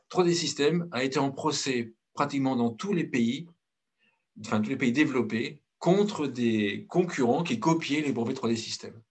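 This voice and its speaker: Blunt French-speaking man, husky voice. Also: mid-range voice. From France. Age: middle-aged. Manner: blunt and outspoken, frequent hesitations and restarts. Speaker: consultancy director giving a presentation. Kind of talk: conference talk.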